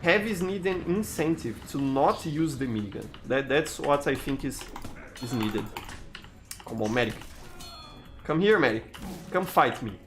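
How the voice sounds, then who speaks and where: A man's voice: medium pitch, a little slow, pauses often, a little hiss or hum. Brazilian-accented male game streamer. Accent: Brazilian Portuguese. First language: Portuguese. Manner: relaxed, chatty, frequent restarts and "you know". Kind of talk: live gameplay commentary reading chat.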